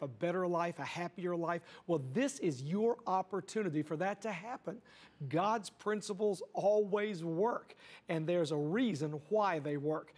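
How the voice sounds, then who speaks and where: High-voiced enthusiastic TV host man. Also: a little mellow. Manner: enthusiastic, emphatic, uses "uh" fillers. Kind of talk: upbeat TV hosting. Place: TV studio set. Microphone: lapel.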